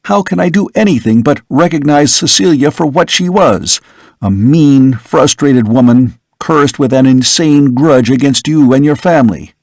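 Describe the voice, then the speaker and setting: A man's voice: mid-range voice, expressive, moderate pace, a little mellow, dry recording, studio quality. A man reading an earnest young son in an audiobook, who pleads insistently and with passion. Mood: impassioned.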